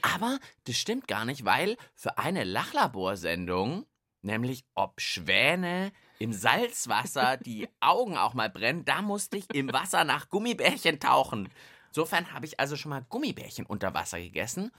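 A man co-hosts a playful radio show for children. His delivery is animated, with pitch that moves around a lot, and he tends to think out loud in a bantering way. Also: normal speed.

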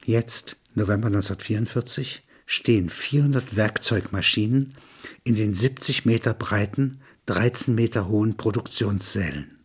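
A man's voice: fairly low pitch, normal speed, breathy edge. A man reading scripted documentary narration in a factual, descriptive way.

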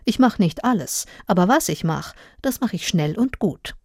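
A woman's voice: medium pitch, animated, normal speed, a little mellow, husky, no room sound, studio quality. Brazen, businesslike woman narrator, acted by a woman. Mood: cold, brazen. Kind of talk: acted literary reading.

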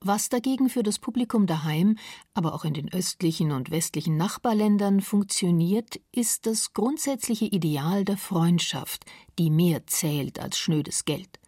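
A woman reading scripted narration for a radio documentary, measured and softly spoken, over a music bed. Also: lowish voice, slightly rough, no room sound, studio quality.

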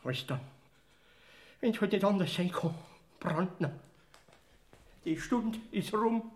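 An actor playing a pleading, sing-song Bavarian death figure in a radio play, with a Bavarian accent. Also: male voice, sits high, expressive, slow pace.

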